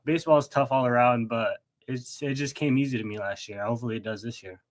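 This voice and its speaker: A man's voice: mid-range voice, fast talker. A young man from Texas answering casual sports interview questions as a college athlete, polite and earnest. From Texas, United States. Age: young adult. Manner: polite, often says yes sir, earnest. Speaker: college baseball player, interview guest.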